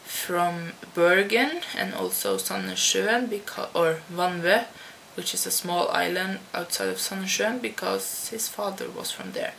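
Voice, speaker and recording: A teenage girl from the Netherlands answers tag questions at her home computer, slow and halting, with many restarts. Her voice has a gravelly, creaky edge. A faint computer-fan hum sits behind her webcam audio. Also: on the low side, expressive, fairly bright tone, rough recording. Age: teens. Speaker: vlogger. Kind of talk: casual talk to camera answering a tag.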